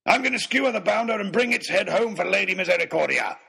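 Casting: pugnacious storybook baronet, very raspy, high for a man, pompous and bellowing. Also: lively intonation, fast, bright, forceful tone, full character voice. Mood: boastful. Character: blustering, pugnacious aristocratic baronet, an adventurer. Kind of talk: storybook character voice.

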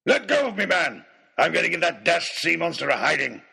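Casting: pugnacious storybook baronet, very raspy, high for a man, pompous and bellowing. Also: very animated, a little fast, pushed and bright, full character voice. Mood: furious bluster. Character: blustering, pugnacious aristocratic baronet, an adventurer. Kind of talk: storybook character voice.

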